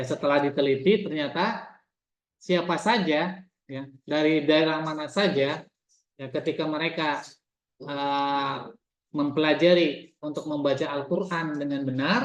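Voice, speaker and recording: Guy teaching a class, slightly high voice, keeps repeating stuff and quizzing students, echoey muffled room. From Indonesia. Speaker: Arabic teacher. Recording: echoey room, dull lo-fi sound.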